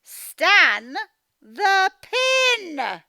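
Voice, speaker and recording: A woman voicing an exasperated cartoon mum who scolds her young son in an emphatic, drawn-out way. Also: extremely high pitch, very animated, creaky, pushed and bright, dry recording, clean studio sound, voice pushed up, heavily acted. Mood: alarmed scolding.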